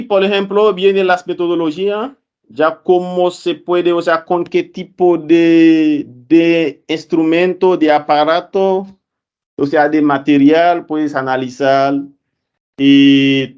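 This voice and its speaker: A man speaking Spanish as a second language, giving a student conference talk in a halting way, correcting himself often. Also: on the high side, a little mellow. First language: not Spanish. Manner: halting, self-correcting, with fillers.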